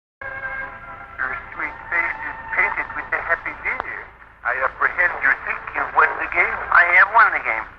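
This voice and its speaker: Wry, animated family man. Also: on the high side, a little slow, music in the background. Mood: mock-competitive. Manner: mock-pompous, dry. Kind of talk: old-time radio domestic comedy acting. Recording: noisy, dull vintage radio recording.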